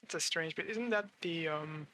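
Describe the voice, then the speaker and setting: A man's voice: a little high, moderate pace, pushed and bright, slight background noise. A man giving casual live commentary as he plays a game, rambling and thinking aloud with mild swearing, over game music. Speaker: game streamer.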